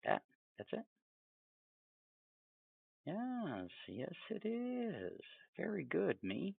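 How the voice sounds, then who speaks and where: A man giving a casual, off-the-cuff software tutorial, rambling along with chatty, self-amused asides. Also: sits high, huge pitch swings, drawn-out words, vocal fry, breathy edge, no echo, phone-quality sound. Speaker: tutorial presenter.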